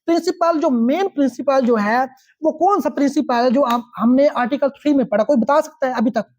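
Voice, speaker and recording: A woman's voice: sits high, fairly quick, a little mellow. A woman with a Pakistani accent lecturing on law, reading out statutes and explaining them instructively, repeating phrases and checking understanding.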